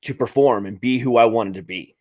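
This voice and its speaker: A man's voice: medium pitch, brisk, darkish tone. A man in his late thirties hosting a podcast, talking casually in a rambling way with many fillers and restarts. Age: 30s. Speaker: podcast host. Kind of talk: casual interview chat.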